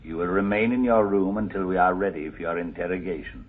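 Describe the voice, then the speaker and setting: Through a tinny intercom filter comes a deep British officer. He is stern and impersonal in radio drama style, with faint noise. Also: steady tone, fast. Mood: official, cold.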